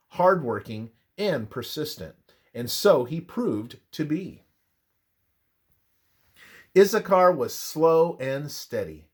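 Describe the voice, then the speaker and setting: A man reading a scripted religious devotional aloud in a measured, deliberate manner. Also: fairly high pitch. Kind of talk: scripted narration of a Bible study, read aloud.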